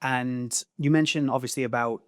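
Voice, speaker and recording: An interviewer speaking at a medium pitch and asking warm, encouraging questions that ramble a little. The voice sits dry and close to the mic. It sounds like a relaxed conversation. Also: moderate pace, no room sound.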